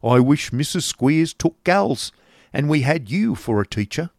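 Man putting on a drawling, vulgar schoolmaster, pitched up. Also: sing-song, unhurried, a little mellow, no room sound, studio quality, exaggerated voice. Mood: oily, jovial. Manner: drawling, hesitant, rough colloquial speech. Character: coarse, oily Yorkshire schoolmaster, sly and vulgar.

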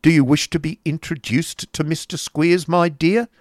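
A man's voice: on the high side, steady tone, normal speed, no echo, studio quality, voice pushed up, close to an everyday voice. A man voicing a cold, miserly older uncle from a novel, biting and emphatic, testy and sarcastic.

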